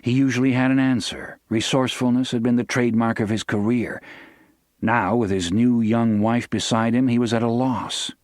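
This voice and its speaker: A man's voice: medium pitch, fairly even intonation, normal speed, a little mellow, dry recording, studio quality. A man reading dramatic scripted fiction as an audiobook narrator.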